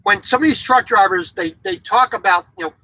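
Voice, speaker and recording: A man's voice: sits high, brisk, pushed and bright, no echo, low-quality recording. A man in his forties, a truck driver vlogging from his sleeper cab, speaking bluntly in a casual monologue with frequent restarts. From grew up in Japan as a military child. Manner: blunt, repeats words and restarts, opinionated. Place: truck sleeper cab, small indoor space.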